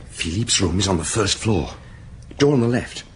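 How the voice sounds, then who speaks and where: An actor playing a young, earnest junior police detective in a radio drama, nervous, hesitant and deferential. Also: male voice, fairly low pitch, expressive, normal speed, husky. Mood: alert, dutiful. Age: young adult. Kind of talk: naturalistic radio drama acting.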